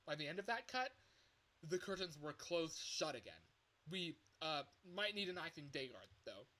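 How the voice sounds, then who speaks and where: A man's voice: a little high, very animated, moderate pace, bright, forceful tone. A man playing a nervy pizzeria caller in a horror game, hesitant and understated as he leaves ominous warnings. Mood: uneasy, ominous. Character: nervy male pizzeria phone caller warning night guard. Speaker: phone caller in a horror fangame.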